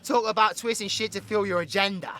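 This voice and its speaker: A man's voice: sits high, fairly even intonation, a little fast, bright, forceful tone, no echo, soft background music. A British man playing a foul-mouthed, hot-tempered supervillain antihero in an audio drama, with a brash, sarcastic, slangy delivery. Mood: ranting, scornful. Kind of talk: audio roleplay voice acting.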